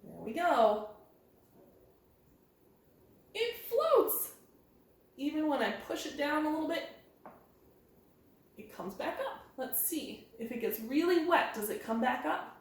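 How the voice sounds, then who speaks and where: A woman's voice: high-pitched, very animated, takes it slowly, long pauses. A woman leading an interactive children's storytime, speaking in a sing-song, encouraging way and putting questions to young listeners. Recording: very echoey, roomy sound.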